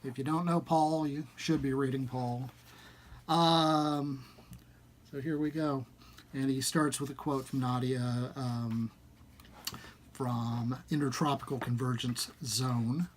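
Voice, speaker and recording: A man presenting a book like an enthusiast publisher, part casual pitch and part reading aloud, with expressive, emphatic delivery. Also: slow pace, slight background noise. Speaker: small-press horror and fantasy publisher presenting a book.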